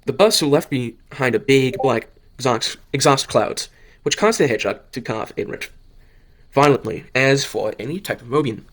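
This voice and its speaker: Halting man narrating an amateur story. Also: medium pitch, expressive. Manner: halting, stumbles and rereads phrases. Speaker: narrator of an amateur audio drama.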